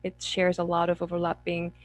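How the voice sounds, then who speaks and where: A woman's voice: on the low side, monotone, fairly quick. A woman from Korea, a memoir author speaking as a panelist in an earnest, organized way. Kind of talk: online panel discussion.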